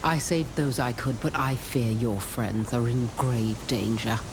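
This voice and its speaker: A woman's voice: rumbling low, fairly even intonation, a little slow, background noise. A woman voicing a resolute, grave and protective adventurer in a video game. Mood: grave, regretful.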